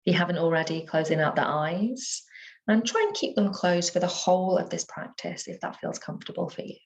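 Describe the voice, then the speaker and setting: A woman teaching yoga nidra, guiding a relaxation meditation in a soothing, unhurried manner with gentle pauses. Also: lowish voice, rough recording.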